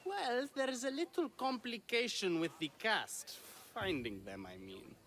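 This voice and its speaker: Flamboyant, high-pitched theatrical playwright. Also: male voice, animated, unhurried, bright, forceful tone, full character voice. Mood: concerned. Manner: effusive, grandiose. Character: theatrical, self-important Greek playwright and leading actor. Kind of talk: video game dialogue acting.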